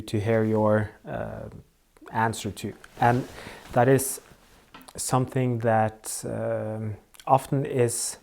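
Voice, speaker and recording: Male interviewer, slow and halting, gravelly voice, lots of fillers. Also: mid-range voice, a little mellow. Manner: hesitant, halting, full of fillers. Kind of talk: interview question.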